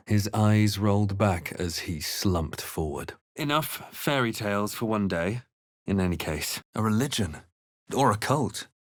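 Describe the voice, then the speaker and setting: A man voicing a grave, watchful, wry spellcasting adventurer hero in a video game, with a measured, dramatic delivery. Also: on the low side, dry recording, clean studio sound. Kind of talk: video game voice lines, dramatic narration and incantations.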